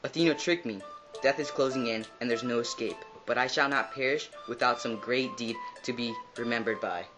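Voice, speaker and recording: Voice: medium pitch, fairly quick, music in the background, lightly acted, rough recording. A performer playing a proud, doomed Trojan warrior prince in a mythological puppet show. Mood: resigned, resolute.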